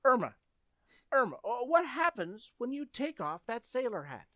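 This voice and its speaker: A woman's voice: on the high side, big pitch swings, moderate pace, a bit bright, no echo, clean studio sound. A woman playing an eager, coaxing, earnest teenage boy in an old-time radio comedy.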